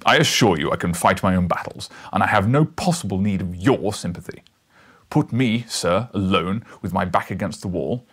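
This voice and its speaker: A man voicing a pompous, menacing, bullish professor with a booming, rumbling voice for a storybook. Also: lively intonation, normal speed, slightly rough, full character voice. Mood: gruff, menacing. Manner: pompous, sarcastic, forceful. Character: huge bellowing insolent bullish professor, menacing bully.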